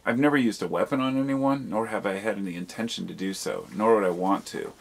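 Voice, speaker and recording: Male vlogger, low-pitched and rough-edged husky voice, candid, reflective, loose conversational rambling with casual swearing. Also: a little fast. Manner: reflective, self-questioning. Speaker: video blogger. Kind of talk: rambling personal monologue, casual chat.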